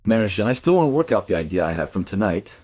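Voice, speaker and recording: A man playing a busy musician husband in a radio drama, husky and businesslike, absorbed in his work. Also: fairly low pitch, big pitch swings, quick pace, darkish tone. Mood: busy, preoccupied.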